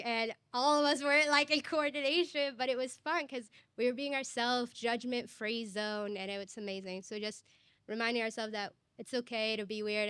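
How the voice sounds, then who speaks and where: A woman's voice: fairly high pitch, fairly bright tone, clear, resonant tone, dry recording. A young woman from Egypt, a student panelist, speaking earnestly from personal experience in a panel discussion. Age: young adult.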